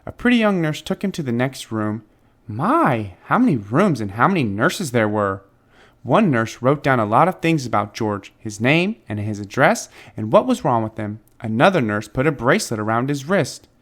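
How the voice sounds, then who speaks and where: A man reading a children's story aloud as narrator, phrasing it deliberately and expressively for young listeners. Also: mid-range voice, very animated, fairly bright tone. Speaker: storybook reader.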